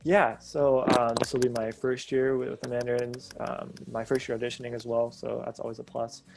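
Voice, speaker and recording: A young man in his late teens, a college freshman and drum major, speaking in a thoughtful, halting way over a video call. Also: mid-range voice, little pitch movement, creaky, music in the background, rough recording.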